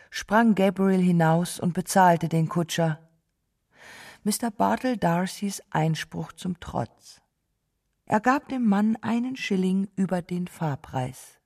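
A woman narrates a literary radio drama, taking her time with each phrase. Her voice is dark and mellow with a creaky edge. She reads quoted dialogue as part of the deliberate storytelling. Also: fairly low pitch.

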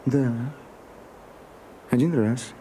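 An actor playing a shy, prim gentleman in a radio play, confiding haltingly and easily flustered. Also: mid-range voice. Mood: reluctant, bashful. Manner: hesitant, halting, bashful. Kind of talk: Russian radio play acting. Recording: muffled Soviet-era radio recording, some hiss.

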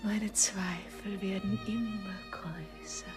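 An actress playing a dreamy, coy young sweetheart, breathy and half-whispering, in a 1950s radio play. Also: female voice, mid-range voice, steady tone, slow, close to an everyday voice. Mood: coy, enraptured. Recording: 1950s radio recording with music.

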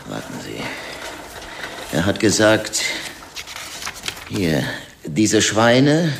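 An actor playing a queasy, downbeat police detective in a radio drama, speaking in a blunt, hesitant, wry way. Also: male voice, fairly low pitch, wildly swooping pitch, drawn-out words, creaky, full character voice. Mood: grim, reporting. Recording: faint location-style ambient noise.

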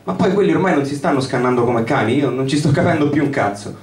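A man's voice: mid-range voice, animated, fast talker, a little mellow, natural voice. A man reading as an exasperated activist on the phone. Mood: urgent, exasperated. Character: agitated, foul-mouthed male activist friend on the phone. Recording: roomy live reading, distant mic, guitar behind.

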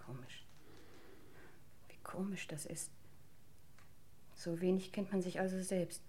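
Actress as a proud, intense, suspicious wife. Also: female voice, on the low side, darkish tone, slight background noise, close to an everyday voice. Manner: formal, insistent, dramatically deliberate. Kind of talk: German radio crime drama acting.

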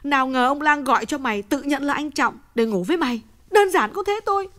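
A man voicing a distraught young wife in a high, fretful falsetto. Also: animated, brisk, sharp tone, heavily acted. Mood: tearful. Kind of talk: audiobook character voice.

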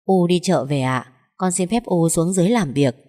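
A woman voicing a proud young bride who answers flatly and curtly. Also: on the low side, moderate pace, natural voice. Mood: cool. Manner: flat, curt.

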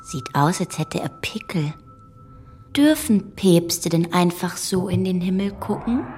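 A woman's voice: on the low side, lively intonation, takes it slowly, a little mellow, a little hiss or hum. An actress playing a curious, dreamy young girl who speaks frankly and simply in a German radio play. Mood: shy, wondering.

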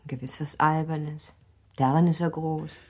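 A girlish yet guarded young wife in a radio drama. Her voice is low and very husky, with a breathy edge on her words. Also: steady tone, a little slow, dark tone. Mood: cool, dismissive. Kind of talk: German radio play acting.

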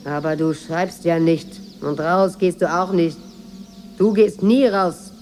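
An actress in a radio drama playing a watchful maid, deferential on the surface but measured and needling. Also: female voice, fairly low pitch, fairly even intonation, darkish tone, slight background noise. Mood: needling, defiant. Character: watchful, insinuating Moroccan maid, outwardly deferential, quietly defiant. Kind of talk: 1980s German radio drama acting.

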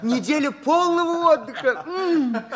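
An eccentric scientist in an old radio drama. His voice is piping and very high for a man. He is absent-minded and excitable, exclaiming and repeating himself. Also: measured pace, full character voice. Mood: excited, delighted. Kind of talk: 1960s radio play acting.

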